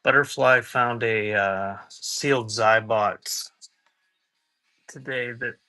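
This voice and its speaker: A man's voice: medium pitch, slow, sharp tone, faint music behind. A man hosting a live online auction in casual, off-the-cuff chat, muttering to viewers between items. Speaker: live auction seller and host.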